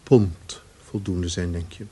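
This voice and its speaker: An actor in a Dutch radio play, playing a middle-aged father and ambitious office gentleman, anxious and condescending. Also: low-pitched, fairly even intonation, slow pace, slightly rough, exaggerated voice. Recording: noisy old radio play recording.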